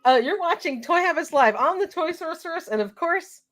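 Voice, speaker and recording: High-voiced, congested woman host. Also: expressive, a little fast, a bit bright. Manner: laughing, loose, reads off viewer names. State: congested from a cold. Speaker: live show host. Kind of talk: casual live-stream chat.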